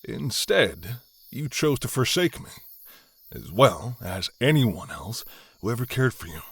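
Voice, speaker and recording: A man acting as a menacing middle-aged father and hunter, husky and very slow. His delivery swings widely in pitch, cold and contemptuous, as in a suspense audio drama. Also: sing-song, a bit bright, no room sound, full character voice. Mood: stern, bitter. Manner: deliberate, scornful, dramatic pauses.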